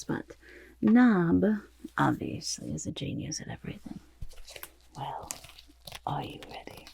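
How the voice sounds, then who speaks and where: A woman voicing an eccentric, self-satisfied goblin artist in an ASMR roleplay, chatty and boastful, with a creaky voice that often drops into whispers. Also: lowish voice, warm tone, a little hiss or hum, full character voice. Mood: proud, secretive. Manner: chatty, boastful, third-person self-talk, halting murmurs. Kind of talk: ASMR roleplay, personal attention.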